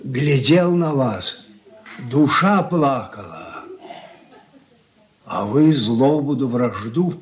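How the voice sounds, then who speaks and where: A man's voice: mid-range voice, lively intonation, drawn-out words, long pauses. An actor playing a stern, wounded old father, head of a merchant family, in a stage-style radio drama, blunt and admonishing. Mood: hurt, pleading.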